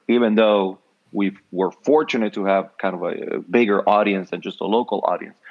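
A middle-aged man from Puerto Rico with a Puerto Rican accent, a nonprofit leader speaking calmly and reflectively as a podcast guest. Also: deep voice. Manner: calm, reflective, frequent affirming hums. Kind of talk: relaxed interview conversation. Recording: muffled lo-fi audio.

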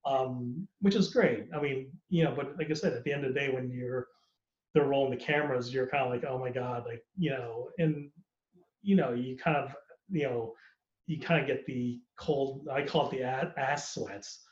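A man's voice: medium pitch, normal speed. Candid man in a roomy, dull recording. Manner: candid, rambling, laughs often. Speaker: actor guest. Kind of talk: casual podcast conversation.

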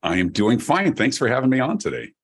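A middle-aged man from Denver with a deep voice, telling his story as a guest on an interview show. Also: a little fast, breathy edge. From Denver, Colorado, USA. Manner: animated storyteller, chatty with restarts. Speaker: keynote speaker and guest. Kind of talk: podcast interview.

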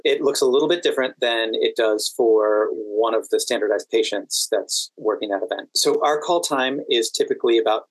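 A man from Washington, D.C., speaks at a medium pitch as a podcast guest. He explains things conversationally, in an articulate and methodical way, and the sound is dry with little room in it. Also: no room sound, poor audio. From Washington, D.C., United States. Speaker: podcast guest, exam event manager and educator.

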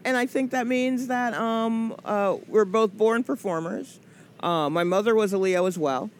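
A woman's voice: medium pitch, measured pace. Joking woman in her forties. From Atlanta, US South. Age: 40s. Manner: rambling, joking, many fillers. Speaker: comedian guest. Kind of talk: casual interview chat.

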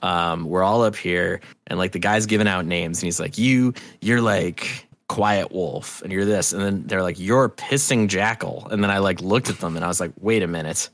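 A man with a low voice in a dry, close-sounding space, talking as an interview guest. He rambles in a casual, animated storytelling style, swears freely and pokes fun at himself. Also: normal speed, few pauses, no echo. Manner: animated, profane, rambling, self-deprecating. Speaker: interview guest, festival promoter.

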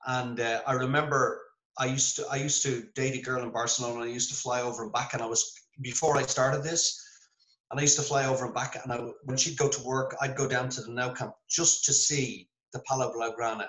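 Irish male guest, chatty raconteur, bright tone, roomy video-call sound. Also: mid-range voice, quick pace. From Ireland. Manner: animated storyteller, self-deprecating. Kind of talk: casual video-call interview.

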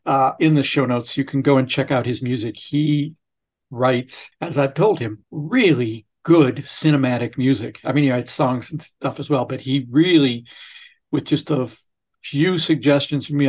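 A man's voice: medium pitch, moderate pace, a little mellow. A man hosting a podcast in a casual, rambling, conversational way. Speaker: podcast host. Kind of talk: casual chat and interview.

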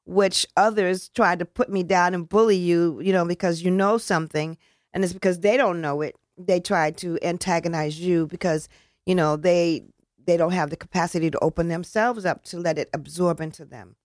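A woman's voice: on the low side, steady tone, moderate pace, no room sound, studio quality. A woman who organizes a community celebration, talking casually in an interview. She is earnest and rambling, restarts her sentences often, and drops in casual slang.

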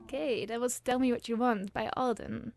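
A woman's voice: on the high side, steady tone, normal speed, gravelly, music in the background. A young woman in her twenties from the Netherlands hosts a student radio show, reading a scripted intro with small slips. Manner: reads from a script, some hesitations. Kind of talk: scripted intro and casual chat.

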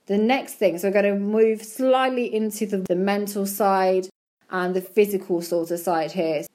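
Chatty woman, slightly croaky. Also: medium pitch, a little mellow. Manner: chatty, encouraging, repeats words for emphasis. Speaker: bodybuilding competitor and podcast host. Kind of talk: casual advice monologue.

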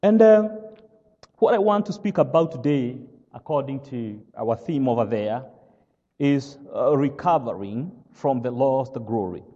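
A man from Kenya with a Kenyan accent, whose first language is not English, preaching a sermon as pastor. He speaks slowly and haltingly, fills pauses with "uh" and keeps asking "all right?". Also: mid-range voice, lively intonation, mellow, long reverb tail, dull audio. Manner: slow, halting with many "uh" fillers, checks "all right?".

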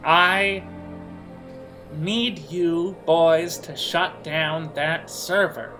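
A man voicing an imperious, scolding handler in a drawn-out, theatrical manner for an audio role-playing game. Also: a little high, fairly even intonation, bright, forceful tone, soft background music, pitched above the performer's own voice, exaggerated voice. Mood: impatient, scolding. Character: imperious theatrical French handler speaking through phonograph record.

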